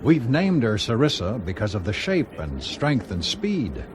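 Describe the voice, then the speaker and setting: Proud, probing executive, lively delivery. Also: male voice, medium pitch, unhurried. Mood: boastful. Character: proud, probing aircraft company executive, formal and persistent. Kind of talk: BBC radio drama acting.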